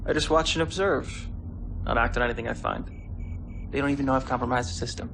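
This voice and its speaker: Man as a cocky young cadet, gravelly voice, wry banter, noisy background. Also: mid-range voice, quick pace, natural voice. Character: cocky young male warrior cadet and hacker. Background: ambient noise.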